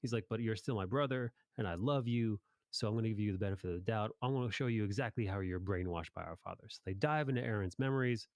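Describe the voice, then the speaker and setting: A man's voice: fairly low pitch, quick pace, few pauses, no echo. A man hosting a casual chat about anime, talking informally in rambling recaps with some swearing. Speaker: podcast host.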